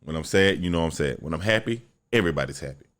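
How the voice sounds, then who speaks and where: Young guy w a deep low voice ranting on his podcast, cussing, swings up n down a lot. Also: fast talker. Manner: blunt, profane, animated storytelling. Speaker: podcast host. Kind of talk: solo podcast rant.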